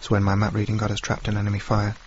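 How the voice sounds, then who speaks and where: Guy playing posh-minded young dead officer, reserved, radio drama, flat delivery, muffled with faint noise. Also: on the low side, very fast, close to an everyday voice. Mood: reflective, aloof. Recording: dull, faintly noisy recording with rain ambience.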